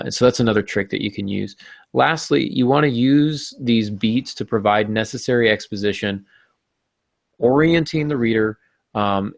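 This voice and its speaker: Gravelly low-voiced man hosting a podcast. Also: no room sound, clean studio sound. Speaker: podcast host. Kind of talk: explanatory writing talk with casual banter.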